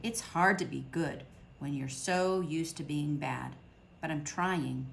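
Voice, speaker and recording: A woman reading a picture book aloud to children at storytime, expressive, with dramatic pauses. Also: sits low, gravelly, a little hiss or hum.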